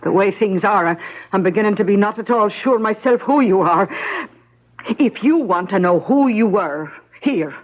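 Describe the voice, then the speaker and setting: Woman acting worried, long-suffering policeman's wife, coaxing and gently scolding, vintage radio drama with muffled broadcast sound. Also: medium pitch, lively intonation, moderate pace, natural voice. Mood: sad, resigned. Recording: dull 1970s radio broadcast recording.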